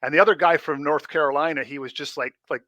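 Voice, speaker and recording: A man's voice: on the high side, fairly even intonation, fast talker, sharp tone, no echo, clean studio sound. A man with a big, carrying voice, a guest telling stories in a loose, rambling way full of fillers. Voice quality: big voice that carries. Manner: rambling storyteller, heavy on "like" and "you know". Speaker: guest, former pastor. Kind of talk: casual podcast conversation.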